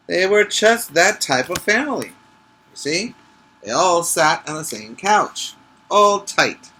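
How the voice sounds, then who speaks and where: A man who teaches preschool, reading a picture book aloud emphatically, stretching key words and pausing to explain things to the children. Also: a little high, sharp tone, rough recording. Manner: instructive, checking in with listeners.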